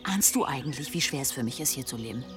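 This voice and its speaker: An actress playing a determined outsider wife, emotional and insistent, in a German radio drama. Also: female voice, very deep voice, animated, quick pace, music in the background. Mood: pleading. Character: determined, passionate outsider wife in an old village. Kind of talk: German radio play acting.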